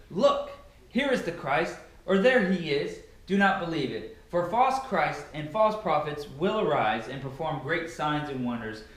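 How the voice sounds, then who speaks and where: Young male Bible reader, slightly high-pitched, dramatic and emphatic delivery, heavily echoing bare room, distant mic. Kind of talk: dramatic scripture reading. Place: bare carpeted living room indoors. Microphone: far camera. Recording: very echoey empty room, distant microphone.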